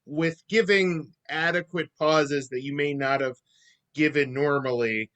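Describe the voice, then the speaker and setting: A man's voice: a little high, steady tone, unhurried, fairly bright tone. A man, an actor, answering questions conversationally as a guest on a video call.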